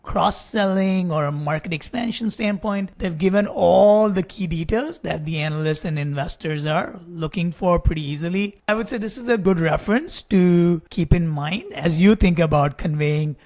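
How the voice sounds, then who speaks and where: A man in his forties explaining business practice as a presenter, in a measured way with emphasized key phrases and informal asides, recorded indoors on a close desk microphone. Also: high-pitched, darkish tone. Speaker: presenter, investor relations adviser. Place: small indoor room or home office.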